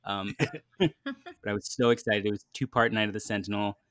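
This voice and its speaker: Low-voiced laughing man in his thirties. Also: moderate pace, fairly bright tone, no echo. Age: 30s. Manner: chatty storyteller, laughs often. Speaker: podcast co-host. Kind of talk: casual podcast chat.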